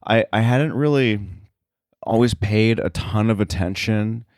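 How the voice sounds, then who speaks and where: A man with a very low voice speaks casually as a guest in an interview. He explains things thoughtfully, with some hesitation. The sound is dry, with hardly any room in it. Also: measured pace, darkish tone, clean tone, dry recording. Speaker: podcast guest, sound effects editor.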